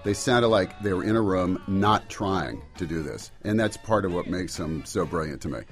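Middle-aged male musician guest, lowish voice, relaxed conversational answers, faint music behind. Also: moderate pace. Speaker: singer-songwriter guest. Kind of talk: casual interview chat.

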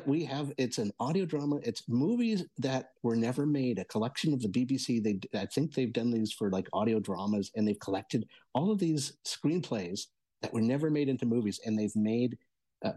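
A man giving a casual review as a regular guest, halting and repeating his words as he goes. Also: medium pitch, warm tone, breathy edge, no room sound. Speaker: regular audio entertainment reviewer.

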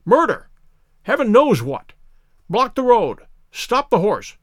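Man acting gentleman detective, storybook, commanding, urgent, clear exclamations, high-pitched, very animated. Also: moderate pace, no room sound, studio quality. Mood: urgent despair.

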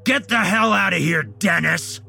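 A man voicing a gruff, impatient, bullying boss in a dramatized story, curt and domineering. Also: medium pitch, lively intonation, a little slow, a bit bright, soft background music, pitched above the performer's own voice. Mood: enraged. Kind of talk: dramatized short story reading.

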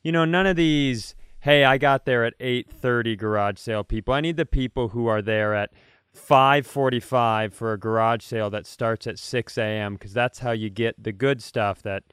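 A man's voice: mid-range voice, normal speed, fairly bright tone, smooth, no room sound, studio quality. An American man co-hosting a podcast in casual, comedic conversation. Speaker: podcast co-host.

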